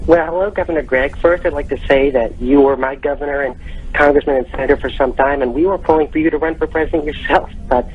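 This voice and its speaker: A man's voice: fairly high pitch, steady tone, quick pace. A man phoning in to a political call-in show, speaking courteously and formally down a telephone line. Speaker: phone-in caller. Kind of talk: prank call posing as a political question. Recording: dull phone line with faint noise.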